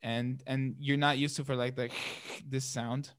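A man's voice: medium pitch, level tone, unhurried, fairly bright tone, dry recording. Guy in his 20s from palestine, chatty interview guest, stammers and repeats himself, dry close sound. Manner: many restarts and repeated words, laughs often. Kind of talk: casual interview chat.

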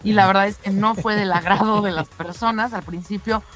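A woman with a Mexican accent presents sports news as a radio co-host. Her delivery is conversational and chatty, speaking straight to her colleagues. Music plays softly under her voice. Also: mid-range voice, fairly even intonation, brisk. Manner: chatty, addresses co-hosts directly.

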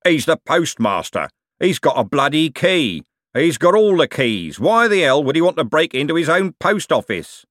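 A man voicing a quick-witted, gruff coachman in an audiobook, bossy, blunt and slangy. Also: medium pitch, expressive, a bit bright, no echo, studio quality, lightly acted. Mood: bossy, impatient.

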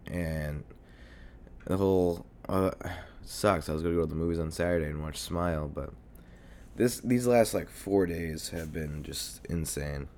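A young man with a very deep voice, made hoarse by a cold, hosts his solo podcast. He rambles casually, swears freely and fills gaps with ums. He sounds chesty and congested, recorded on a desk mic at home. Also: measured pace, a little mellow, a little hiss or hum. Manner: rambling, swears often, many fillers. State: sick, congested and coughing. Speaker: podcast host. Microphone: desk mic near a computer.

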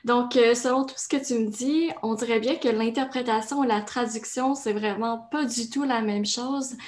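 Encouraging woman podcast host, higher voice. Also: steady tone, normal speed, a little mellow. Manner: encouraging, asks questions. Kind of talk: podcast interview.

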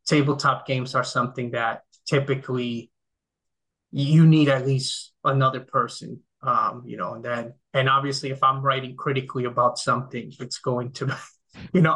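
A middle-aged man from the Dominican Republic, a game critic answering interview questions in relaxed, thoughtful chat with many fillers and restarts. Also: medium pitch, low-quality recording. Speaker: podcast guest, game critic. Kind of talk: casual conversational interview.

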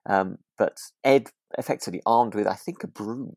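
A man's voice: fairly low pitch, moderate pace, gravelly, a little mellow, no echo, clean studio sound. A British man co-hosting a podcast, chatting casually in a hesitant, rambling way as he tells stories. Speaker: podcast co-host. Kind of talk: casual chat about a radio drama.

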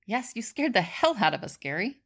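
A woman playing a serious, earnest seer who has visions, in an audio drama, solemn and deliberate. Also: a little high, very animated. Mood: urgent.

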